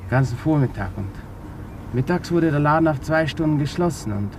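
An actor playing a weary, resigned burglar confessing in a halting, subdued way in a 1950s German radio play. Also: male voice, on the high side, expressive. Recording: noisy 1950s radio recording.

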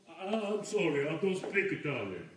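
A man's voice: fairly high pitch, sing-song, unhurried, a bit bright. An actor playing a big, frightened foreign man in a stage drama, repetitive and apologetic. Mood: frightened, insistent. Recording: very echoey stage.

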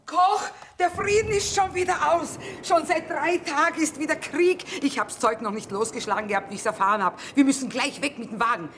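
An actress playing a brisk, commanding middle-aged mother who trades from a wagon in wartime, in a German radio play. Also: high voice, fairly bright tone, a little hiss or hum. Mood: urgent. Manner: commanding, no-nonsense.

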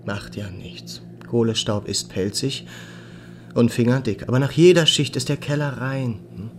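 Mid-pitched male actor as a stoical labourer, slow, deliberate, wry, faint background noise, radio drama. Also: natural voice. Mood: wry, calm. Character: stoical male camp cellar worker, wryly unbothered.

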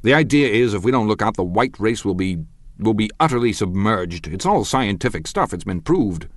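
A brash, domineering rich man who lectures loud and insistent, pitched a touch higher and pushed harder than the male reader's usual tone. The sound is dry and close, with a deep voice underneath the bluster. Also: lively intonation, moderate pace, no room sound. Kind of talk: audiobook character voice.

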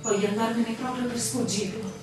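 A woman's voice: a little high, fairly even intonation, normal speed, a little mellow, lightly acted. An actress performs an anguished lover in a radio drama, fearful and devoted. Her plaintive, impassioned voice sits back from the microphone in heavy echo, with music playing behind. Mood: desperate. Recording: echoey, distant, music behind.

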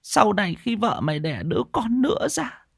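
A woman's voice: mid-range voice, big pitch swings, moderate pace, gravelly, breathy edge, dry recording, studio quality, full character voice. A woman playing a stern, secretive old mother-in-law in an audio story, blunt, cryptic and weary. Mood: ominous, commanding.

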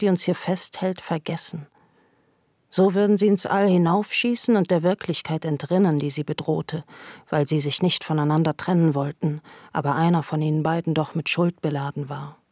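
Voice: mid-range voice, moderate pace, a little mellow, no room sound. Radio play narrator, scripted literary reading, dry close studio sound.

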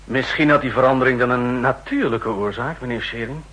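An actor playing a stern, skeptical general who speaks formally and dryly in a 1960s radio drama. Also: male voice, medium pitch, fairly quick, a bit bright, low-quality recording. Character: stern, skeptical male general chairing a hearing. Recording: husky-sounding old 1960s radio recording.

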